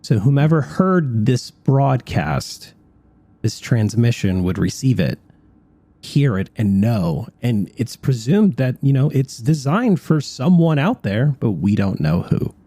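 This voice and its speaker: A man's voice: mid-range voice, very animated, takes it slowly, warm tone, dry recording. A man hosting a radio show in a studio, moving between chat and part-scripted narration.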